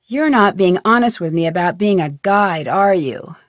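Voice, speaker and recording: A woman's voice: on the low side, lively intonation, moderate pace, no room sound, natural voice. A woman voicing a curious, probing young woman detective in a video game, asking question after question with a dry wit. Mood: suspicious. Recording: dry studio recording.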